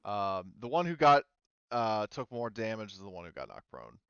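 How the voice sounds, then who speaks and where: A man with a lowish, very clear voice runs a tabletop role-playing session as game master. He thinks aloud in halting stretches. The recording is dry and slightly muffled. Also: very animated, fairly bright tone, dry recording.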